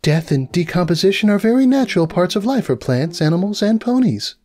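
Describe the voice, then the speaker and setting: A man voicing a timid, sweet, softly morbid female pony with a meek, hesitant manner in a cartoon story. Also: high-pitched, big pitch swings, a little fast, faint music behind, pitched above the performer's own voice. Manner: meek, hesitant, gently pleasant.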